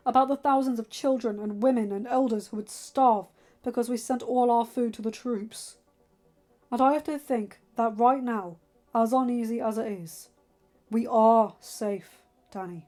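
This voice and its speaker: A woman's voice: a little high, fairly even intonation, a little slow, lightly acted. Woman voicing a stern, exasperated young lord. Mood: grave, insistent. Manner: forceful, emphatic, pleading with reason. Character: stern, exasperated young lord and father, protective, weary of war.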